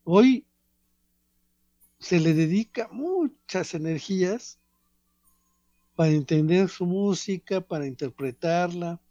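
Man, professorial radio commentator, slow deliberate delivery with pauses. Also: sits high, animated, dark tone, dry recording, lo-fi sound. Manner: measured, professorial, pauses between phrases. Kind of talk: reflective radio talk on art.

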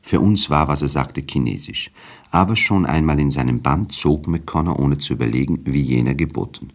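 Extremely deep, husky male narrator. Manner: measured, formal storytelling. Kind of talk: scripted literary narration for radio drama.

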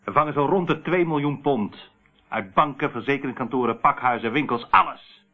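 A man's voice: mid-range voice, normal speed, narrow, tinny audio, close to an everyday voice. An actor playing a boastful, cold and ruthless male crime syndicate boss in a radio play, with a mocking, menacing manner. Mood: smug, gloating.

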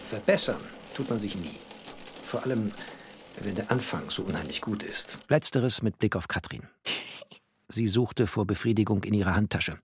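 An actor playing a bookish, weary traveller who narrates in terse, deliberate, expressive literary prose. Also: male voice, on the low side. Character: bookish male first-person narrator, a weary refugee traveller. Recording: clear noisy ambience behind the voice.

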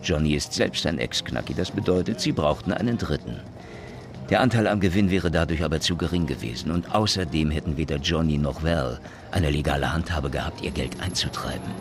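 An actor playing a sardonic, methodical police detective with a dry, probing manner in a crime radio play. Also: male voice, a bass voice, brisk, music in the background. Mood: matter-of-fact. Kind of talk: hard-boiled radio crime drama acting.

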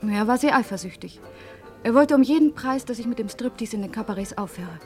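An actress playing a candid young cabaret dancer in a German radio crime drama, talking in an animated, frank way. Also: female voice, a little high, fairly quick, soft background music, lightly acted.

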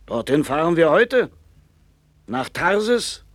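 An actor playing a proud, knowing seafarer in a 1960s German radio play, with a musing storyteller's manner. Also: male voice, fairly high pitch, big pitch swings, no room sound, exaggerated voice. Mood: proud, boastful. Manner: slow, musing, storytelling, with swings from boastful to confiding.

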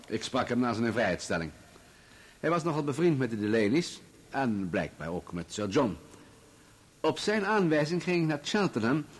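Husky, composed journalist witness. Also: male voice, fairly high pitch. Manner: measured, formal, factual recounting. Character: male journalist testifying in court, composed, matter-of-fact. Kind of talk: radio drama acting.

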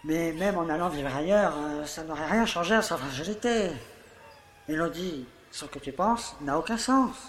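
A man plays a long-serving village mayor in an audio drama, pompous and falsely kindly. His voice sits on the high side and addresses others with paternal self-satisfaction. The room is echoey, with faint noise behind him. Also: moderate pace, close to an everyday voice. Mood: defensive. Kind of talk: theatrical monologue in an audio play.

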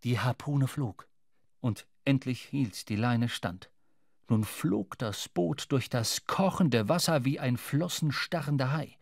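A man narrating a literary radio play in a measured, dramatic storytelling manner. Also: medium pitch, gravelly, no room sound, studio quality. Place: dry studio.

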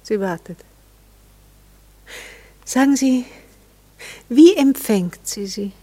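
An actress playing a wistful, curious, well-bred married lady in a radio play, with a husky, breathy voice and a hesitant, probing manner. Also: a little high, animated, slow pace, mellow. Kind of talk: intimate radio play dialogue.

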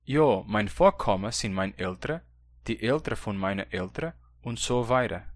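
A man's voice: lowish voice, lively intonation, normal speed. A man with a Brazilian Hunsrik German accent, reading the student part of a scripted textbook dialogue in a plain, questioning manner. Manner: plain, questioning learner's delivery. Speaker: language course reader.

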